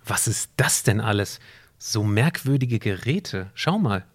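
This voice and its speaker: A man's voice: fairly low pitch, expressive, unhurried, no room sound, studio quality. A man playing a curious, sceptical time traveller in an amateur audio drama, casual and questioning.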